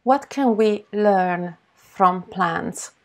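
A young woman with an Italian accent, slowly and carefully reading interview questions on a video call. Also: mid-range voice, darkish tone. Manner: slow, deliberate. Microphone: webcam on a video call.

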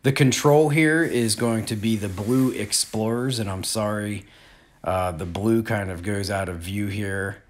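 A man in his forties giving a relaxed, plain-spoken disc golf lesson outdoors in an open field, recorded on a nearby camera. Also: fairly low pitch, unhurried. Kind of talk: outdoor instructional talk.

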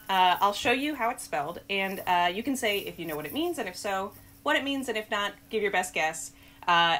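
A woman in her thirties hosting a casual word quiz over a video call, bubbly and quick to laugh, with lots of filler words, on a headset mic in a small room. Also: mid-range voice, sharp tone. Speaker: host. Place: small room with blue backdrop.

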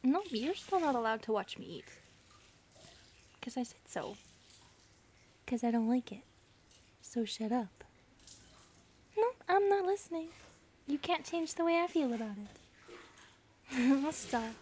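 A woman playing an affectionate, playful, shy centipede monster girlfriend in an ASMR roleplay, soft, breathy and intimate. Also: sits high, expressive, measured pace, pauses often, slight background noise. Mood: fond, teasing. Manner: intimate, playful, lightly teasing.

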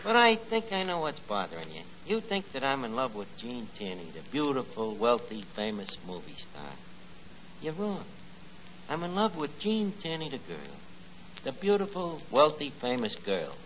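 An actor playing a pretentious, dim, lovestruck tavern manager in 1940s radio comedy, mangling highfalutin phrases. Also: male voice, very animated, normal speed, a bit bright. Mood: wooing. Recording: muffled 1940s radio recording with hiss.

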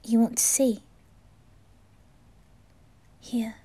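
A woman voicing a wounded, lovestruck teenage boy in an ASMR roleplay, soft and breathy with a hesitant, tender manner. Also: a little high, fairly even intonation, very slow, heavily acted. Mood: tender, relieved. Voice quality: soft, breathy, often whispering.

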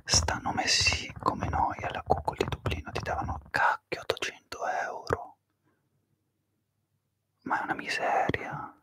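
A man whispering through a live ASMR stream, softly greeting viewers and slowly repeating their names into a close microphone. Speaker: ASMR livestreamer.

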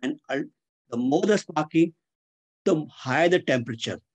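An older man with a fairly high voice teaches a technical class over a video call. He is deliberate and didactic, going point by point through his slides and repeating or spelling out key terms. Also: animated. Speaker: engineering instructor. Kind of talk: webinar lecture explaining slides. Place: indoors, on a webcam video call.

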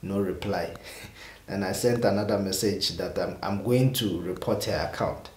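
A man's voice: sits low, moderate pace, echoey room. A young man talking casually to camera in a small room, exasperated and rambling, often restarting his words. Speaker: vlogger. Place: small indoor room with purple lighting.

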